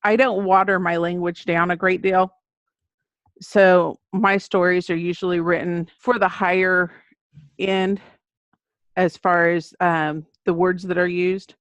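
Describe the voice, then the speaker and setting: A woman's voice: lowish voice, no echo. An American woman speaking as an author guest in a podcast interview, explaining things in an earnest, conversational way. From United States. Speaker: guest, children's book author. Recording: muffled, dull lo-fi audio.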